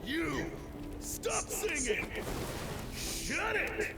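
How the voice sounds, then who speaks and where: A man voicing a bullying, menacing raider in a video game, barking commands and threats over loud music. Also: very high voice, very animated, very slow, exaggerated voice. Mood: menacing, enraged. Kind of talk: dramatic game voice acting.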